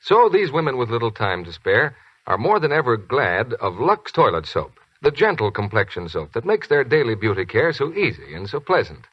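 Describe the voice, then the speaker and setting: Husky 1940s radio announcer man. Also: mid-range voice, big pitch swings, normal speed, no echo. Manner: smooth, persuasive. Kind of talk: scripted commercial announcing. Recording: dry, muffled 1940s radio broadcast.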